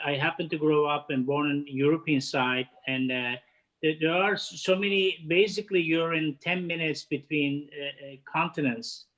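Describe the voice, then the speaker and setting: A man in his fifties from Turkey, speaking with a Turkish accent, answering interview questions in halting speech full of "uh" fillers and restarts. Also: fairly high pitch, fairly bright tone, rough recording. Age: 50s. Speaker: guest, head of catering. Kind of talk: casual interview chat.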